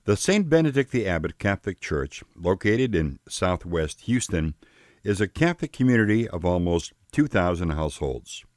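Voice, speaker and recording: A male narrator delivers scripted documentary lines in a measured, deliberate way. His voice is very deep yet lively in pitch, recorded dry and close. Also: no room sound.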